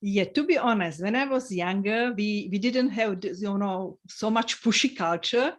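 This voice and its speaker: A woman's voice: mid-range voice, unhurried. A woman hosting a podcast who greets and introduces her guest in a welcoming way and uses simple phrasing. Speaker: podcast host.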